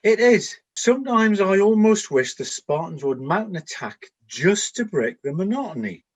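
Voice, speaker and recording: Man acting a high-pitched, grumbling, vain soldier. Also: big pitch swings, normal speed, a little mellow, husky, heavily acted. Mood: bored. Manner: grumbling, wistful, droll bantering. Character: bored, vain, plain-looking male Trojan soldier on night watch. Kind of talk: comic radio play dialogue.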